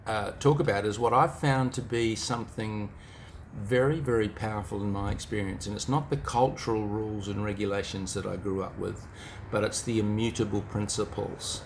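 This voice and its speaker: A man's voice: lowish voice, steady tone, normal speed, slight background noise. A middle-aged man with a New Zealand accent giving an informal motivational talk, reflective and speaking straight to the listener.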